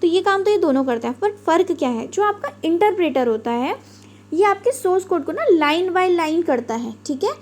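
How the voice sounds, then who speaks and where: High-voiced woman teaching computer basics. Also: lively intonation, normal speed, darkish tone. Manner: patient, repeats points with everyday examples. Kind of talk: explanatory lecture.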